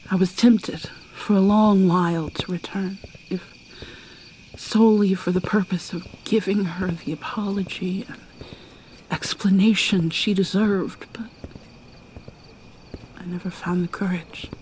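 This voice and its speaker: A woman playing an ancient vampire mermaid in an ASMR roleplay, whispering softly and haltingly with a wistful manner. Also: medium pitch, steady tone, slight background noise, natural voice, rough recording. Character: ancient female vampire mermaid, guarded and sorrowful.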